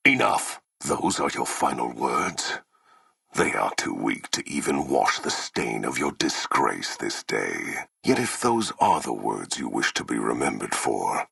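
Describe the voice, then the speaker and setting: An actor playing a merciless, imperious warlord in a video game, with a gravelly, husky voice and grandiose, menacing, formal speech. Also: male voice, rumbling low, a bit bright, no room sound, clean studio sound, heavily acted. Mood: cold disdain. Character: merciless, imperious warlord commanding a slave army. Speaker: army commander. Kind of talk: theatrical video game villain dialogue.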